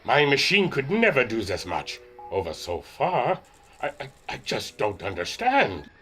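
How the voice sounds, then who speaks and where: An actor playing an earnest, excitable inventor and airship captain in a retro adventure radio drama, with formal, theatrical diction. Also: male voice, medium pitch, measured pace, faint music behind. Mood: distressed, bewildered.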